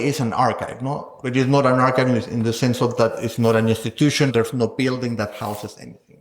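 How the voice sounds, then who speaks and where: A man, a guest scholar, talking through ideas in an academic interview, thinking aloud and often ending points with a questioning "no?". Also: medium pitch. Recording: roomy echo.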